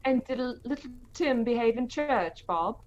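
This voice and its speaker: A woman's voice: on the high side, animated, measured pace, darkish tone, highs cut off. Fussing, fond Victorian mother. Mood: bustling. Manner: motherly, bustling, homely period speech. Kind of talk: audio play acting, old radio drama style.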